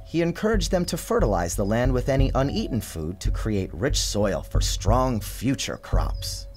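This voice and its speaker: A man's voice: medium pitch, normal speed. A man reading a children's storybook as a dramatic, theatrical narrator. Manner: theatrical, expressive storyteller delivery. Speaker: author narrating his storybook. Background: music and sound design.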